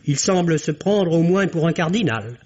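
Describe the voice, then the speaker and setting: Higher-pitched man, vintage radio film critic. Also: expressive, moderate pace, heavy background noise. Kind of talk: scripted film review, 1950s French radio. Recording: dull vintage radio recording.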